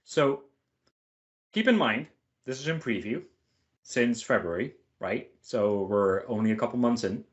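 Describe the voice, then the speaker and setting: A man's voice: medium pitch, animated, unhurried, long pauses, highs cut off. A man giving a casual online tech talk and answering questions in an informal, joking way with short emphatic phrases. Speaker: featured speaker answering questions.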